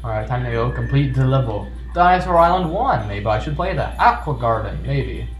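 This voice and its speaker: A man talks casually through a video game at a middling pitch, full of joking, mock-serious asides. His voice has noticeable room echo, and game music plays faintly behind him. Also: big pitch swings, brisk. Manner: jokey, playfully sarcastic asides. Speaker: video game player and commentator. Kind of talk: casual gameplay commentary.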